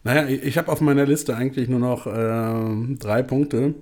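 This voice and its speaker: A man's voice: mid-range voice, fairly even intonation, moderate pace, darkish tone. A young man co-hosting a podcast, dry and matter-of-fact, running through his list of points. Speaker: podcast co-host. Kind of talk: casual banter.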